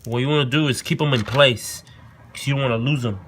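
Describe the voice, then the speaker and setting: Lower-voiced man giving a casual how-to. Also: quick pace, fairly bright tone. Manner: informal, occasional swearing, repeats himself. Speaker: host of a car installation tutorial. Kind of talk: casual step-by-step tutorial commentary. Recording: noisy, clicks and vehicle sounds behind.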